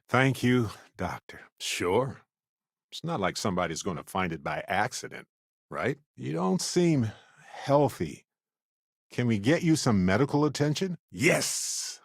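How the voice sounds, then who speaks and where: An actor playing an affable, witty, talkative space explorer in a video game, with an expressive, wry, folksy way of speaking. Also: male voice, medium pitch, dry recording, clean studio sound, exaggerated voice. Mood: casual, conversational, then excited, playful. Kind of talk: scripted video game character dialogue.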